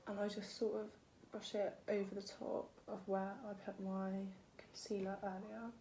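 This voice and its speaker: Young woman, croaky voice, chatty, self-deprecating, echoey home room, faint background noise. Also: mid-range voice, flat delivery, normal speed, warm tone. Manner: chatty, self-deprecating, talks while working. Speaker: beauty vlogger. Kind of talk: casual makeup tutorial.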